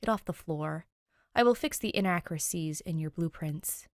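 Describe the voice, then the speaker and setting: Lady doing a haughty formal teen boy, clipped and low, story character, dry recording. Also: moderate pace, no echo, close to an everyday voice. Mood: stern, instructing.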